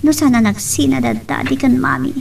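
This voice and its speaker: A woman's voice: high voice, fairly quick, creaky, soft background music. A woman with an Ilocano Filipino accent acting a scheming, lovelorn young woman in an emotional romance drama. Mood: tipsy self-pity. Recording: distant mic with faint noise.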